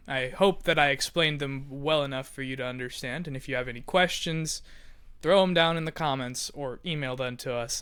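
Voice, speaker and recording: A man's voice: medium pitch, pushed and bright, clean tone, dry recording. A man hosts a podcast in a casual, explanatory style. He talks as the show's writer, with music playing behind his commentary.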